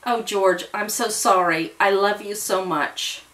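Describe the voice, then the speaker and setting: A woman's voice: medium pitch, a little slow, a bit bright, pitched above the performer's own voice, lightly acted, low-quality recording. A woman playing an earnest, emotional woman in love in a story, with a breathless, dramatic manner. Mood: tender.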